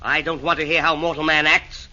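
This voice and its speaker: Fussy vain emperor Nero, high and piercing, 1940s radio play, dull lo-fi. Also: male voice, little pitch movement, a little fast, sharp tone. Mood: haughty. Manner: haughty, petulant, affected. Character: vain fussy male Nero, fiddling in hell. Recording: dull old radio broadcast.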